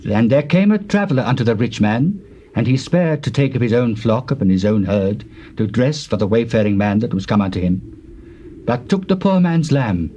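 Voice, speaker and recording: A man's voice: mid-range voice, animated, normal speed, warm tone, lo-fi sound, close to an everyday voice. A man playing a stern prophet who solemnly declaims God's judgment in a dramatized scripture reading. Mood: solemn storytelling.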